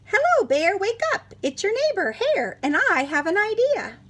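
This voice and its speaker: Woman putting on a perky high-pitched trickster hare. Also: big pitch swings, moderate pace, heavily acted. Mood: eager, calling. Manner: bright, persuasive, salesman-like. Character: clever, eager, fast-talking male hare, a smooth dealmaker.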